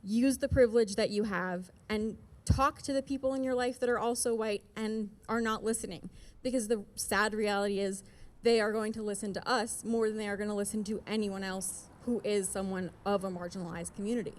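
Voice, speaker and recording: A woman's voice: mid-range voice, fairly even intonation, a little fast. A woman speaking at an outdoor rally, urgent and exhorting the crowd to act.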